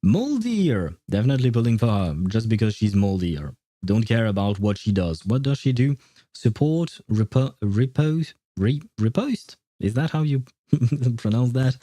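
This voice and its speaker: A man's voice: mid-range voice, sing-song, a little slow, dark tone, no echo. A man chatting casually as a game livestreamer, rambling and hesitant as he thinks aloud.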